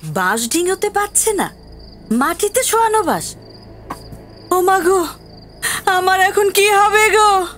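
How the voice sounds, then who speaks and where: A woman's voice: very high voice, expressive, slow, pauses often, fairly bright tone, faint music behind, exaggerated voice. An actress playing an anxious, superstitious village wife in an audio drama, drawing out her emotive lines. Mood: alarmed. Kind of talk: Bengali audio drama acting.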